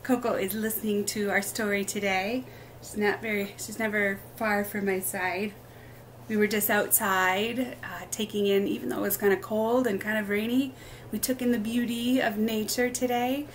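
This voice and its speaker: A woman hosting a children's story time, reading a picture book aloud expressively in short, deliberate phrases. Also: mid-range voice, steady tone, slight background noise.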